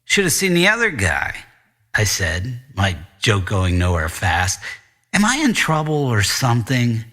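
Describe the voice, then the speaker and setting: A man's voice: on the low side, wildly swooping pitch, a little slow, sharp tone, full character voice. A man narrating a noir story as a confused, self-mocking tenth-grade boy, in a conversational, sardonic way. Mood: wry. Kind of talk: first-person noir audiobook narration.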